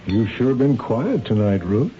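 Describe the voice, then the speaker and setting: An actor playing a mild, easygoing husband in a radio drama, gentle and a little hesitant. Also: male voice, mid-range voice, sing-song, unhurried, over loud music. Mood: mild, probing. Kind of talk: radio mystery drama acting. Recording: dull, hissy old radio recording.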